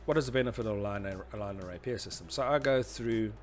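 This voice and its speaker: A man with a fairly deep voice talks through an instructional presentation. He explains in an informal, slightly halting lecture style. Faint music sits behind his voice. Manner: hesitant, explanatory, often saying "you know". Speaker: instructor presenting a tutorial series.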